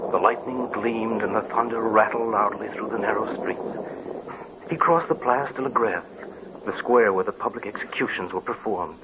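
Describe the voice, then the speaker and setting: An actor playing a grave man who recounts his family's legend in measured, solemn 1940s radio drama narration. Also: fairly low pitch, close to an everyday voice. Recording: dull old radio recording with faint hiss.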